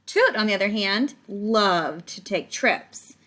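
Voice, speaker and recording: A woman's voice: medium pitch, slow. A woman reading a picture book aloud to children like a teacher, stretching words and adding casual explaining asides to her young listeners. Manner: animated storytelling, stretches words, chats directly to young listeners.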